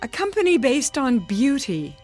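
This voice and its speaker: Proud, vain businesswoman wife, animated. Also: high voice, a little slow, soft background music, exaggerated voice. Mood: indignant. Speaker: actress in a radio drama. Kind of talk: radio drama acting.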